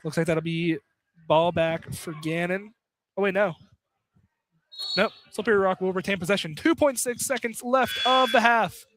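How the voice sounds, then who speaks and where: A man's voice: high voice, moderate pace, noisy background. A man doing casual, informal live play-by-play commentary of a basketball game for radio. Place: courtside at a college basketball game, noise behind.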